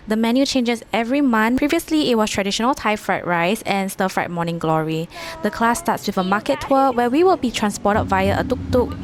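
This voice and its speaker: A woman's voice: fairly high pitch, a little hiss or hum. A woman from Singapore giving an upbeat, scripted voice-over narration for her vlog. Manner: upbeat, enthusiastic. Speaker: vlogger.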